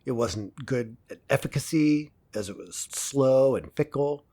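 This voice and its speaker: An actor playing an earnest professor giving a measured, self-assured academic lecture in an audio drama. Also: male voice, mid-range voice, lively intonation, dark tone. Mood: earnest, opinionated.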